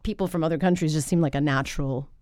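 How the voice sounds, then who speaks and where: A middle-aged woman talks through her past in a podcast studio, her voice on the low side. She tells stories in a lively, chatty way and laughs easily. The sound is dry and close, with no room around it. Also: fast talker, dry recording. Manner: lively storyteller, laughs often. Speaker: guest, interpreter. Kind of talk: conversational podcast interview. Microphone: studio microphone.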